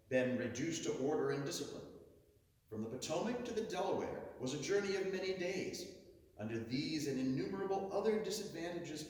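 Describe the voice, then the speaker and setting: A man delivers a grand declamatory oration, his voice rising and falling dramatically and lingering on the words he wants to land. He sounds distant from the mic, and his voice rings through a large, echoing hall with a long reverb tail. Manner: booming, dramatic swells and drawn-out stresses. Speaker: orator reading a historic speech. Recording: echoey hall, heard from far off.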